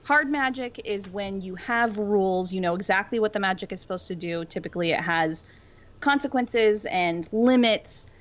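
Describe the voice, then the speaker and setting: A woman's voice: medium pitch, normal speed. Lo-fi recording of a chatty explainer woman. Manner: informal, explanatory, frequent "like". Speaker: podcast co-host. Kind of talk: casual fan discussion. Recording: dull lo-fi audio.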